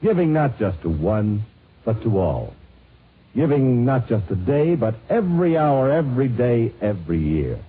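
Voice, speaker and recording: A man's voice: medium pitch, very animated, unhurried, husky, phone-quality sound. A man reading a scripted 1950s radio advertisement as an announcer, with an emphatic, polished sales delivery. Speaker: commercial announcer. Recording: band-limited, hissy 1950s radio broadcast.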